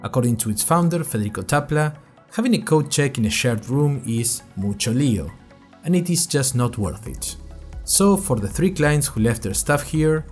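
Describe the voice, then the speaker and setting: A man's voice: mid-range voice, lightly acted. A man playing an anxious young college freshman who narrates his podcast in a wry, rambling, self-conscious monologue. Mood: wry, hesitant. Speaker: narrator and host of a fiction podcast. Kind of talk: scripted first-person audio drama monologue.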